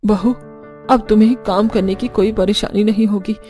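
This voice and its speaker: A woman's voice: mid-range voice, steady tone, moderate pace, warm tone, soft background music, natural voice. A woman playing a weary, ageing village mother and mother-in-law in a cartoon family drama. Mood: kindly.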